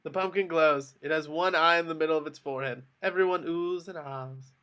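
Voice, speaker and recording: A man's voice: fairly high pitch, steady tone, fairly quick, sharp tone, slight background noise. A man reading stage directions as the narrator of a script table read.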